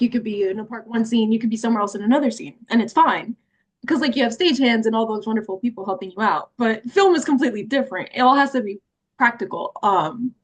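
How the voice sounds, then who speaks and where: A young woman, an actress, chatting casually over a video call, laughing often and filling her talk with "like". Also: on the high side. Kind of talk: casual conversation.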